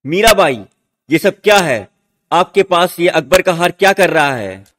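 Animated, troubled prince acted by a man. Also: on the high side, measured pace. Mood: alarmed. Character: troubled, protective royal husband, courteous but torn. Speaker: actor in a student radio drama. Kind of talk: radio drama acting.

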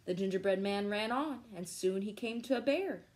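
A young woman reading a children's storybook aloud at home in an expressive, playful way. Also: medium pitch, normal speed. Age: young adult. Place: small living room at home.

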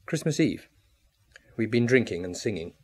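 A bitter artist full of remorse in a radio play. He has a lowish, husky voice and broods over his words, leaning hard on some. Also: expressive, moderate pace. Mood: brooding. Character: bitter, remorseful artist recalling a wrecked youth. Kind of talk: radio drama acting.

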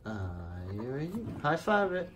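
A man plays puppets with his child in short, simple phrases. His pitch swings in a lively way and his pace is slow. The home phone recording has clear background noise. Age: adult. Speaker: father playing puppets with child. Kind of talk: casual playful puppet play. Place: child's bedroom. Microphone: handheld phone. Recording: noisy home phone video, handling clicks.

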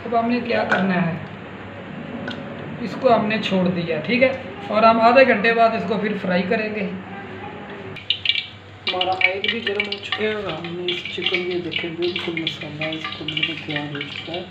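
A man's voice: high voice, fairly even intonation, normal speed, pauses often. A man casually demonstrating a recipe, explaining it step by step and repeating himself. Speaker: home cook presenting a recipe. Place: small hard-walled home kitchen. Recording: dull, echoey phone recording, loud sizzling noise.

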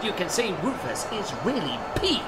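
Comic audio drama: grandiose old-world sports announcer, very animated, with clear noise of a match behind. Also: male voice, high-pitched, moderate pace, a loud crowd. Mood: excited. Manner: theatrical, grandiose. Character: excitable old-fashioned male fantasy match announcer.

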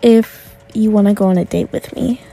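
A young woman voicing a calm, attentive teenage girl on a date. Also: medium pitch, normal speed, creaky, soft background music, rough recording.

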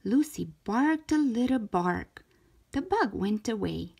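A woman reading a storybook aloud for young children in an expressive, deliberate way, stretching out key words. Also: a little high, gravelly, husky. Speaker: children's storybook reader, show host.

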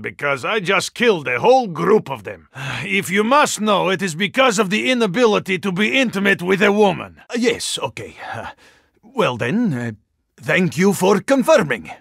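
Casting: huge courteous yeti super-agent, video game. Thick-textured gravelly voice, Russian accent, formal phrasing, very animated pitch. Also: male voice, no echo, studio quality, exaggerated voice. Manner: formal, stilted phrasing with dropped articles. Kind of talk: video game character voice lines.

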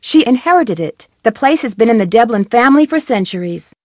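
Young amateur sleuth, thoughtful and inquisitive; slightly high, dry studio sound. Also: female voice, expressive, fairly quick, darkish tone, no room sound. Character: curious young woman amateur detective. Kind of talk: video game voice acting over a phone call.

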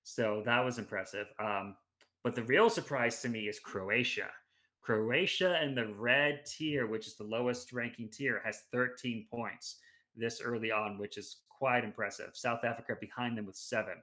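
A man's voice: medium pitch, moderate pace, sharp tone. A man in his thirties on a headset, talking sports at home in a casual, analytical way, running through results at length. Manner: talkative, analytical, restarts mid-phrase. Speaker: podcast guest.

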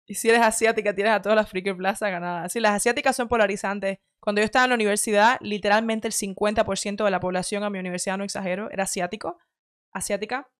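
A woman in her thirties with a light Cuban accent, giving quick, chatty, opinionated commentary into a studio mic at home. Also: medium pitch, fairly even intonation, dry recording. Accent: light Cuban Spanish. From Cuba. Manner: quick, chatty, opinionated, frequent self-corrections. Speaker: host reacting to clips. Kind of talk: casual commentary. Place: home studio room with shelves. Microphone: headset with studio mic on arm.

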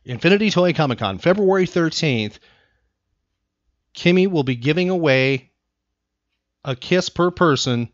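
A man's voice: fairly high pitch, lively intonation, a little slow, long pauses, dry recording. An American man hosting a casual talk show, emphatic and repeating phrases for effect. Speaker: podcast host. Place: studio. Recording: dry, slightly dull lo-fi studio sound.

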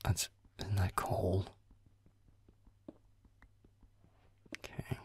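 A man's voice: low-pitched, completely flat delivery, measured pace, husky, whispered, exaggerated voice. A man playing a grumpy, defensive werewolf boyfriend in a roleplay audio, muttering sarcastically as he plays a video game. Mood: unsure. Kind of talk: roleplay audio, gaming chat with listener.

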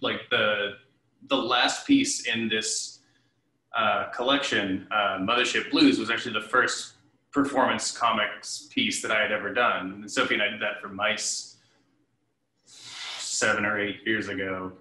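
Man, thirties, extremely bright forceful voice, casual discussion, echoey home room. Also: on the low side, steady tone, a little slow. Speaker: interviewed theatre director and performer. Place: living room at home, indoors. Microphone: computer mic at a short distance, video call. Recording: roomy, echoey home video call.

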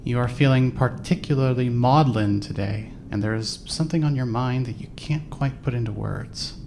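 A man's voice: medium pitch, normal speed, background noise. A man reading scripted second-person story narration for a fan audio drama. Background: clear music.

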